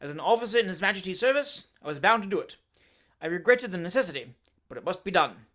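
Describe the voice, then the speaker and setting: A man voicing a fierce, blustering former army man in middle age, speaking loud, short, abrupt, jerking sentences. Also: high voice, big pitch swings, quick pace.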